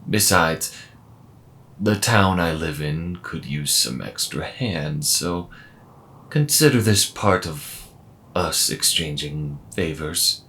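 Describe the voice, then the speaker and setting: A man playing a friendly, calm robot mechanic with dry humour and a measured, gently wry delivery in an audio roleplay. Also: low voice, slight background noise, heavily acted. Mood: calm, reassuring. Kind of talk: slow intimate audio roleplay acting.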